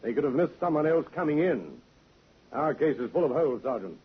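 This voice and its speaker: An actor playing a stern, skeptical Scotland Yard inspector who speaks in a clipped, authoritative way, heard through a tinny old radio recording. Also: male voice, fairly high pitch, animated, a little fast, breathy edge. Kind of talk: 1950s radio drama acting.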